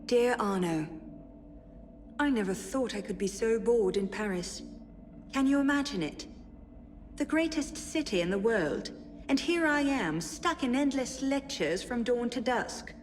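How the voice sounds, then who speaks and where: An actress playing a spirited young French noblewoman in a video game, reading letters aloud in an expressive, measured, articulate way. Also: fairly high pitch, slight background noise. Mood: playful, bored.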